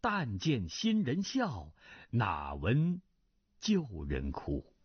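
A man voicing a sorrowful middle-aged nobleman full of regret, speaking low and soft as he reads a martial arts novel aloud. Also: wildly swooping pitch, drawn-out words, husky, no echo, full character voice. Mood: wistful reciting. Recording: dry, slightly dull lo-fi recording.

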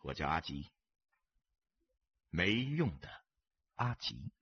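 A man voicing a calm, defiant stranger who speaks coolly and mockingly in an audiobook story. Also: sits low, very slow, slightly rough, highs cut off, pitched below the performer's own voice, full character voice. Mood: quiet defiance. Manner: flat, cool, quietly mocking. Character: cold, unkempt male drifter, calmly defiant stranger.

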